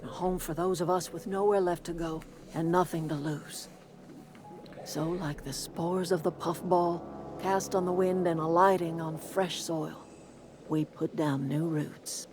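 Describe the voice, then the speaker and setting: A woman's voice: on the low side, steady tone, measured pace, a little mellow, a little hiss or hum, lightly acted. An actress playing a kindly, plain-spoken older woman who leads a garden commune in a video game, with a folksy, motherly manner. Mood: wistful, firm. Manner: folksy, motherly, calls people dear. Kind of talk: video game character acting.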